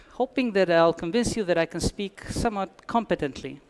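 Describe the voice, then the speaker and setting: A man's voice: high voice, animated, moderate pace, rough recording. A man from Albania, giving a conference talk with dry humor and frequent restarts. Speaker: conference speaker. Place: conference hall.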